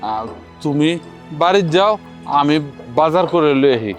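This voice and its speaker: An actor playing a meek, gentle older farmer father who speaks softly to calm the quarrel. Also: fairly high pitch, measured pace, over loud music. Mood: placating. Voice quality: gentle, placating. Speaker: actor in a family drama.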